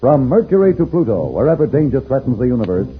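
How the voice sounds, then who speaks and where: A man's voice: medium pitch, big pitch swings, fast. A man narrating a 1950s radio adventure serial in a dramatic, formal announcer's delivery. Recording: tinny old radio recording with music. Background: loud music.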